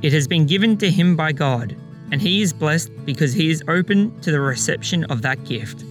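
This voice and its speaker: A man's voice: fairly high pitch, expressive, normal speed. A man hosting a gospel reflection program, reading a scripted introduction. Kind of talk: scripted narration. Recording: music behind the voice. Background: plucked guitar music.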